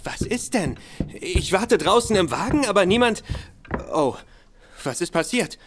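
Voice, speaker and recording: An actor playing a timid, easily shocked young gentleman accomplice who hesitates and stammers. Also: high-pitched, very animated, a little slow, a little hiss or hum. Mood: flustered. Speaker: reluctant accomplice. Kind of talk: studio audio drama acting.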